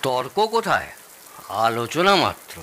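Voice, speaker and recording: Teasing older father-in-law, very bright voice. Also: medium pitch, big pitch swings, normal speed, a little hiss or hum. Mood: teasing, assured. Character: genial older father-in-law, proud champion of Padma hilsa. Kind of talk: Bengali audio drama dialogue.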